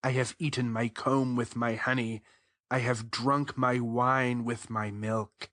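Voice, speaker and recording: Casting: male actor for devoted bridegroom king, scripture drama. Unhurried, solemn, crisp bright tone. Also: mid-range voice, flat delivery, dry recording, clean studio sound. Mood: contented. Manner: solemn, measured, archaic poetic phrasing. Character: King Solomon as tender adoring lover praising his bride. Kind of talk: dramatized scripture reading, bedtime poetry.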